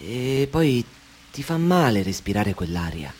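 An actor playing an anxious, controlling man in a radio play, who talks insistently and broods, dropping to a hush and then pressing hard. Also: mid-range voice, sing-song, unhurried, a little hiss or hum. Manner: brooding, insistent, swings between hush and urgency. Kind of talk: Italian radio play acting.

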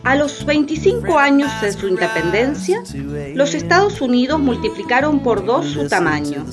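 A woman's voice: high voice, very animated, moderate pace, music in the background. A woman narrating history in a scripted radio drama.

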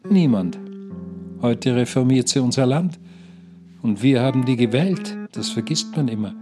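An actor playing an older care-home pensioner who stubbornly preaches positivity in a declamatory, insistent radio play style. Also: male voice, mid-range voice, expressive, moderate pace, over music, lightly acted. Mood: earnest persuasion. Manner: declamatory, insistent, repeats himself. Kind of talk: German radio play acting.

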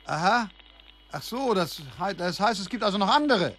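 An actor playing an excitable, gullible yet suspicious man in a naturalistic German radio play, stammering and hesitant. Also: high-pitched, normal speed, a little hiss or hum. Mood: nervous, suspicious.

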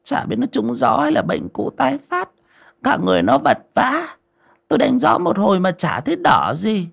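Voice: mid-range voice, wildly swooping pitch, normal speed, creaky, voice pushed up, exaggerated voice. A narrator voicing an anxious, bossy elderly village wife with fretful, folksy, stammering speech in an audio story. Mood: worried, bossy.

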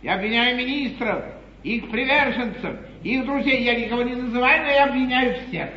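An actor playing an eloquent, ironic English lord in a classical 1950s stage comedy, declaiming grandly. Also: male voice, very high-pitched, normal speed. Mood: declamatory indignation. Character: eloquent, theatrical English lord, an opposition politician. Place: theatre stage. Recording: dull 1950s live theatre recording, echoey hall, hiss.

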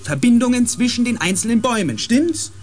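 A man's voice: very high-pitched, lively intonation, a little fast, a little mellow, faint music behind. A man playing a thoughtful, inquisitive scientist on a space expedition in a radio drama, reasoning aloud with emphasis. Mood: musing, eager.

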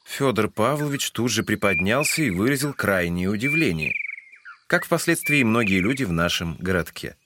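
A man's voice: fairly low pitch, very animated, normal speed, noisy background. A man giving scripted literary narration in a Russian audio drama.

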